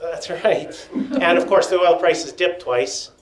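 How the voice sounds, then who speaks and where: An older man telling stories at a panel in a meeting room, loud-voiced with wry joking asides, feeling unwell and dizzy. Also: on the high side, slow pace. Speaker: panel speaker. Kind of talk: storytelling at a panel. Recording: echoey room.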